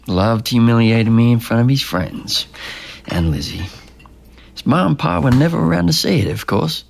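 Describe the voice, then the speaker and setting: An actor playing a troubled, self-doubting young man, husky and gravelly, speaking in a casual, self-deprecating way in a naturalistic audio drama. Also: medium pitch, animated, moderate pace, slight background noise. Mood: wry, bitter. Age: young adult.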